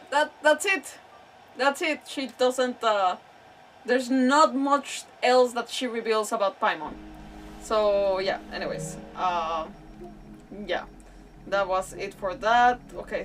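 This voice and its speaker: A woman giving casual, rambling game commentary as a streamer, often interrupting herself. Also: medium pitch. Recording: distant mic, game noise behind.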